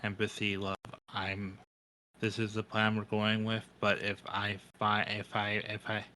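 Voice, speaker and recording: A man playing a tabletop game, talking casually and haltingly, repeating words as he thinks aloud. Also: on the low side, monotone, pushed and bright, slight background noise. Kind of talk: casual roleplay and table chat.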